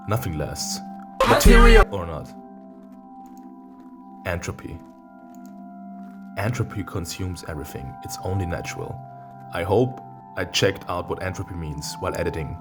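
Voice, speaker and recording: Casting: deep-voiced male let's player, non-native English speaker, reads visual novel text aloud with sarcastic, sweary commentary over clear background music. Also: animated, moderate pace, long pauses. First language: not English. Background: game music.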